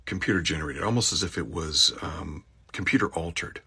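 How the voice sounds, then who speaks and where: A man who narrates audiobooks, talking casually as he explains his voice acting work, with a gravelly, husky voice. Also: low-pitched, a little fast, bright, forceful tone.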